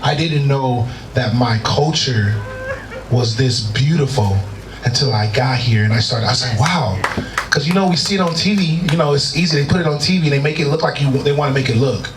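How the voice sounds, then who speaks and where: A man's voice: mid-range voice, a little fast. A man speaking earnestly as a panel guest, repeating words as he searches for them. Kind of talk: heartfelt panel remarks. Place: event venue in Tanzania. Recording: echoey room from far off with background noise.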